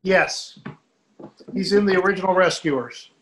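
A man's voice: high voice, unhurried, a bit bright, a little hiss or hum, rough recording. A man chatting casually with a group on a video call. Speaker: club member. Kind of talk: casual chat about old entertainment.

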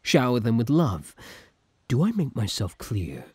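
Man acting a slow, husky time-travelling villain. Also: mid-range voice, huge pitch swings, a little mellow, no echo, exaggerated voice. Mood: commanding, possessive. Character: obsessive lovesick older villain from the future, commanding. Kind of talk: scripted ASMR roleplay acting.

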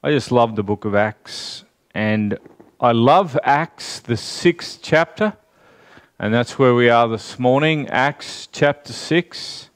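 A man in his forties with an Australian accent, preaching a sermon in a large church hall, chatting with the congregation and stressing key words slowly. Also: medium pitch. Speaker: pastor.

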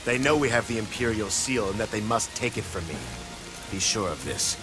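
Man playing proud middle-aged warlord, mid-pitched, highly animated, commanding, dramatic game cutscene, music behind. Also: fairly quick, lightly acted. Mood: stern. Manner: commanding, declamatory. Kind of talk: dramatic English video game cutscene acting.

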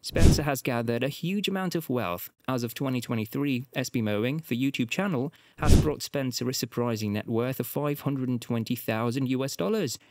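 A man's voice: medium pitch, moderate pace, hardly any pauses, a little mellow, dry recording. A man reading a scripted documentary voiceover as the narrator, with an upbeat, informative storytelling delivery on a close studio mic.

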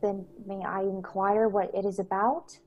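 A woman in her twenties acting a gentle mother, once a starship engineer, in a radio play over a video call. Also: mid-range voice, level tone, measured pace, lo-fi sound, slight background noise, close to an everyday voice. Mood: formal, defensive.

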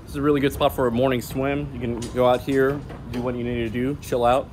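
A man's voice: mid-range voice, little pitch movement, quick pace, darkish tone. A man in his thirties, a vlog host, talking to camera in a casual, upbeat way while walking around outdoors. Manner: chatty, upbeat, talks with his hands. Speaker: vlogger host. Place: outdoors on a city plaza and rooftop. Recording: outdoor street noise behind. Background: traffic and city ambience.

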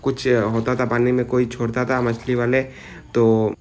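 A man's voice: mid-range voice, monotone, a little slow, darkish tone, slight background noise. A young man vlogging outdoors by a river, rambling with many filler words as he explains what is happening. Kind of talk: casual vlog narration.

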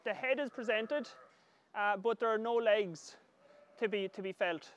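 A middle-aged man with an Irish accent giving calm, measured hands-on instructional commentary as an agriculture professor. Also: high-pitched, muffled recording. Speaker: agriculture professor demonstrating lambing. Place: large open livestock shed with straw pens.

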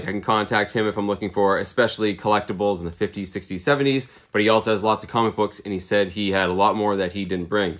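A man's voice: mid-range voice, a bit bright. Man in his thirties, chatty upbeat host, casual show-and-tell from a small room, close to the camera. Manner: chatty, upbeat, lots of fillers. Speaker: comic book channel host. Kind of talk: casual video blog, showing items. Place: small room lined with comic books. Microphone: camera at close range.

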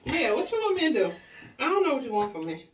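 A woman's voice: sits high, very animated, fast, recorded from a distance. A middle-aged American mother chatting casually on a family vlog, blunt and playfully exasperated, recorded in a small room. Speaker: mother styling her daughter's hair on a family vlog. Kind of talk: casual chat. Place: small indoor room at home. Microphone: camera or phone a step away. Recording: muffled, noisy, echoey room, off mic.

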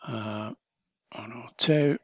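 A man with a mid-pitched voice walks through a software setup as a training instructor. He is informal and chatty, explaining each action step by step. The sound is dry, with very little room in it. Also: slow, breathy edge, no echo, highs cut off. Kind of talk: casual step-by-step software tutorial narration.

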